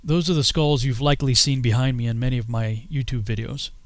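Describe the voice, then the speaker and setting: A man's voice: medium pitch, fairly even intonation, fairly quick, no echo. Male American narrator, mid-range, scripted personal documentary, dry studio-like sound. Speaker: filmmaker and author narrating his own journey.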